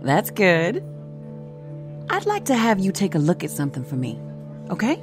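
An actress playing a tactful, gently probing woman in an audio drama. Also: mid-range voice, huge pitch swings, normal speed, music in the background. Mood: gentle coaxing.

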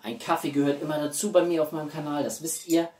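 A man's voice: fairly high pitch, fairly even intonation, fast talker, noisy background. A man, chatting casually and expressively to camera as a video host at home. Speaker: YouTube host. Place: small living room at home, indoors. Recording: roomy echo, distant microphone.

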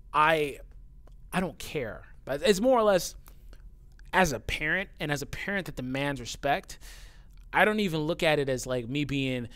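A man's voice: a little high, fairly bright tone. A man who says his voice sounds young, talking casually and bluntly as he reads out viewer comments, swearing often and getting heated. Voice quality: youthful-sounding voice. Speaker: YouTuber reading viewer comments. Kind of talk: casual talk to camera.

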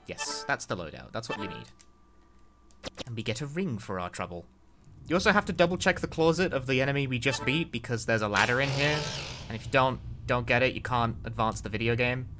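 A man's voice: medium pitch, expressive, fairly quick. A young adult man giving casual speedrun commentary on a video game as a streamer. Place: indoor room at home. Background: loud game music.

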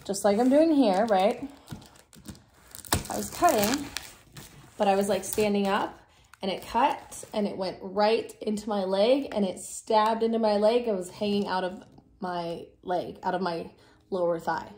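A woman in her thirties hosting a casual vlog, raspy and low on energy from a cold, chatting with many fillers in her living room. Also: a little slow, a little mellow. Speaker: vlog host. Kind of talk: casual chat to camera.

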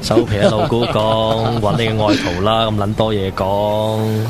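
A man's voice: fairly low pitch, completely flat delivery, a little slow, over loud music, natural voice. An actor playing a cheeky, crude young man with a Hong Kong Cantonese accent in a casual radio drama.

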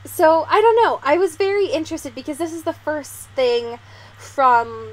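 A woman's voice: high voice, normal speed, low-quality recording. A young woman hosting a podcast in a casual, informal, giggly way, with slang and swearing. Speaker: podcast host. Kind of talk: casual chat.

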